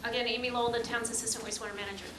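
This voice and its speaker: Flat-voiced woman explaining at a meeting. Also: fairly high pitch, racing. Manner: matter-of-fact, explanatory. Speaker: town wastewater manager. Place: town meeting room. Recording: echoey noisy meeting room recording.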